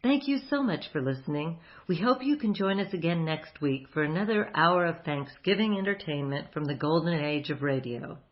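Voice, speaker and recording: A woman's voice: deep voice, expressive, normal speed, hardly any pauses, muffled recording. A woman hosting a radio program, reading a scripted sign-off narration.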